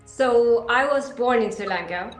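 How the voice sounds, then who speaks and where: A woman in her thirties who grew up in Sweden, talking eagerly in an interview with frequent ums and pauses. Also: fairly high pitch, roomy sound, faint music behind. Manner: enthusiastic, hesitant with many fillers. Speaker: interview guest, equestrian athlete. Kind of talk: casual interview answers.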